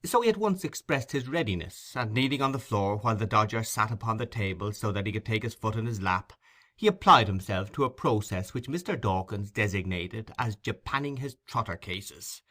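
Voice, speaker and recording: Bright, forceful male audiobook narrator. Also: lowish voice, lively intonation, brisk. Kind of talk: expressive scripted literary narration.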